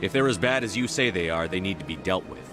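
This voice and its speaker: A man playing a steady, direct military commander in a science fiction video game, terse and controlled. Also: sits low, fast, music in the background. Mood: grave, level. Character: steady, no-nonsense male military commander of space opera. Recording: clear background ambience noise.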